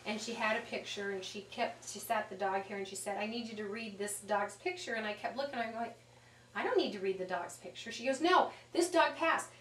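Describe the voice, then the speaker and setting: A woman talking conversationally as an interviewed animal communicator, telling stories in an animated way and quoting the dialogue as she goes. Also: mid-range voice, brisk, a little hiss or hum. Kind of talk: conversational storytelling and explaining. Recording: echoey room sound.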